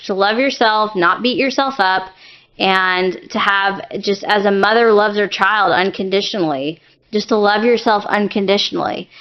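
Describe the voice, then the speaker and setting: A woman giving an informal tarot reading, offering gentle, reassuring advice as she talks. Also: medium pitch. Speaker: tarot reader. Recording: dull lo-fi sound.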